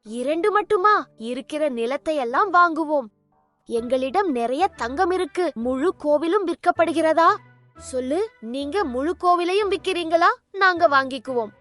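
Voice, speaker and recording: A woman's voice: sits high, expressive, moderate pace, fairly bright tone, faint music behind. A woman voicing a cheeky boy who acts as a boastful, loyal servant in a children's cartoon. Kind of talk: animated children's cartoon dub.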